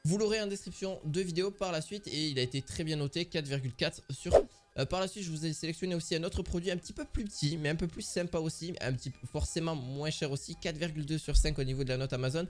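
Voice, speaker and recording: A man's voice: a little high, quick pace, music in the background. A man giving a casual product review, hesitant and full of filler sounds and restarts.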